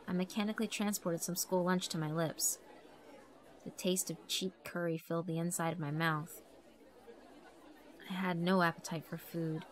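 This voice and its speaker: A woman giving a measured, expressive, scripted narration of a horror story. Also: fairly low pitch, slight background noise, rough recording. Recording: background music under the voice.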